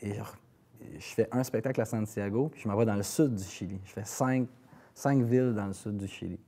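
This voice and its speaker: A man's voice: on the low side, brisk, dark tone. A man with a Quebec French accent speaking casually in an interview as a singer-songwriter, often repeating words as he searches for phrasing.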